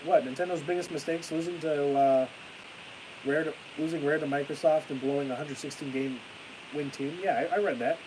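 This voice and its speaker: A young man chatting on a live stream with a stuffy nose and a fading voice, ranting excitably and then trailing off into tired mumbles. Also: on the high side, fairly quick. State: stuffy-nosed, losing his voice. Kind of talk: casual live stream chat. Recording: hissy home stream mic.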